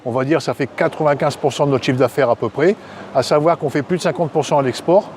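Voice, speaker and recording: A man with a slightly high voice answers interview questions as a company director. He explains things plainly and matter-of-factly, with faint noise behind him. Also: fast talker, a little mellow.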